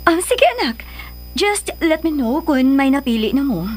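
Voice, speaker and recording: A woman's voice: high voice, animated, normal speed. An actress playing a doting but guarded mother of a grown daughter in a radio drama, with a Filipino accent. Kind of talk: Philippine radio drama acting.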